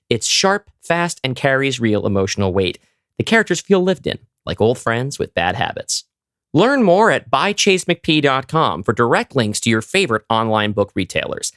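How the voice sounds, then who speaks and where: A man's voice: mid-range voice, a bit bright, no echo, studio quality. A man reading sponsor messages as a podcast host. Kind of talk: host-read ad.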